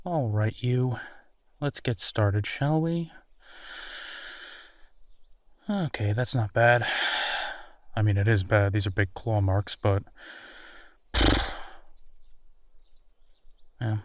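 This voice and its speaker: Man acting an easygoing, slow-talking werewolf guy. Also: on the low side, dry recording, natural voice. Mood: easygoing, considerate. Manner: casual, hesitant, chuckling, reassuring. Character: friendly, easygoing male werewolf boyfriend, casually reassuring. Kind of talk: roleplay audio drama, natural one-sided conversation.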